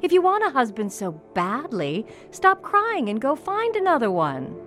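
A woman's voice: high voice, big pitch swings, normal speed, a bit bright, faint music behind. A woman playing a cold, commanding plantation mistress in an audio drama, scolding and strict. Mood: cold, snapping. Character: stern, cold slave-owning mistress of a plantation house.

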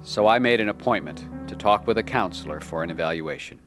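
A man's voice: on the low side, fairly quick, music in the background, natural voice. An actor playing an earnest, insecure man struggling with addiction in a radio drama, sometimes hesitant and defensive. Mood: resolved narration. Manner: hesitant, stammering in dialogue. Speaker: lead actor and narrator. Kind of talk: radio drama acting and first-person narration.

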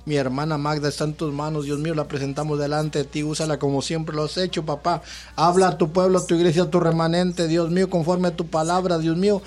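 A man's voice: on the high side, level tone, fast, darkish tone. A male pastor leading a fervent spoken prayer, addressing God as father.

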